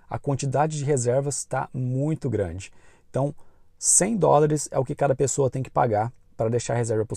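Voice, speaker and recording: A man with a Brazilian Portuguese accent speaks in a mellow, relaxed voice at a medium pitch. He delivers informative commentary as a channel host, explaining things in a measured way. The recording is very dry, with no room sound around the voice. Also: creaky, no room sound.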